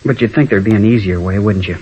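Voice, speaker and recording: A man plays a troubled high school boy in a 1950s radio drama. He talks briskly in schoolyard slang, hesitant yet cooperative. The vintage recording is dull and muffled. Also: slight background noise, lightly acted. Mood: sheepish.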